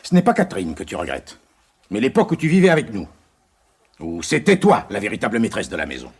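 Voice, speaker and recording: Husky, blustering middle-aged father, swooping pitch. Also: wildly swooping pitch, fast, exaggerated voice. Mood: defensive, weary. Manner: blustering, grumbling. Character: blustering factory-boss father nearing fifty, touchy. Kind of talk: French radio play acting.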